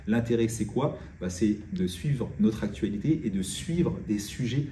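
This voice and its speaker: Low-voiced Frenchman in an echoey room. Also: lively intonation, unhurried, mellow. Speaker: real estate agent presenting his agency's video. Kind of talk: informal explainer to camera. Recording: roomy echo, distant mic, faint noise.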